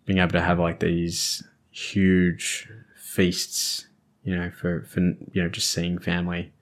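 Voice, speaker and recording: A man's voice: low voice, completely flat delivery, takes it slowly. An Australian man hosting a podcast, chatting casually and rambling, often restarting and searching for words. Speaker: podcast host. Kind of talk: casual chat.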